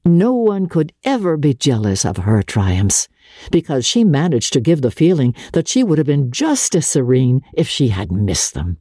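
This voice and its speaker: A woman giving a measured, expressive and theatrical reading of literary fiction as an audiobook narrator. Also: very deep voice, breathy edge, no room sound, clean studio sound. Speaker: audiobook narrator and radio presenter.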